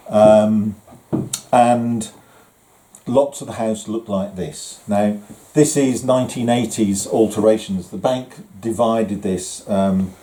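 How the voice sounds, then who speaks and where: A man's voice: lowish voice, warm tone. A man casually guiding a group through an old house, chatty, with frequent ums and restarts. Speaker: house owner giving a guided tour. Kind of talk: casual guided tour. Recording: roomy indoor sound.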